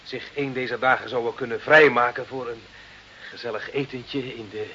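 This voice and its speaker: A muffled, hissy old radio play recording carries an actor as a timid male petitioner. He is courteous and halting, deferring to authority in a bright, tense voice at a middling pitch. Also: steady tone, natural voice. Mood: polite, grateful. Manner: hesitant, deferential, polite. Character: timid, polite male petitioner before an official.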